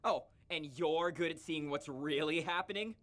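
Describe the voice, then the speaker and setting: A man's voice: fairly high pitch, normal speed, fairly bright tone, natural voice. A man playing an earnest, defensive, relentlessly upbeat boy in a visual novel, easily wounded.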